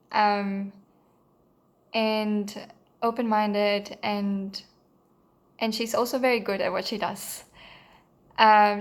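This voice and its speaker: Hesitant Mexican woman, flat even voice. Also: medium pitch. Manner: hesitant, many fillers and restarts, earnest. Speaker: course participant giving a testimonial. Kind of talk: unscripted spoken testimonial.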